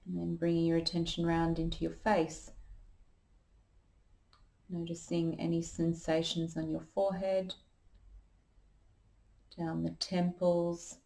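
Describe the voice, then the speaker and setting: A woman leading a guided meditation as a yoga teacher, calm and unhurried, giving gentle instructions. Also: on the low side, gravelly.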